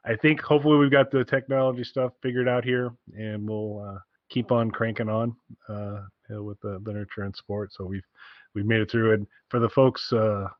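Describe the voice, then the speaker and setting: A man's voice: on the low side, little pitch movement, normal speed, no echo, lo-fi sound. A man co-hosting a casual podcast, talking in an enthusiastic, rambling way and repeating himself, recorded over an internet call. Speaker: podcast co-host.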